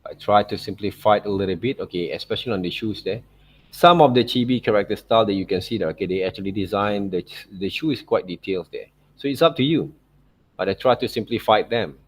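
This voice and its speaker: A man narrating an informal art software tutorial as a teacher, in loosely phrased non-native English, often checking in with short tag words. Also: fairly low pitch, quick pace, breathy edge. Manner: repeats check words like okay and right.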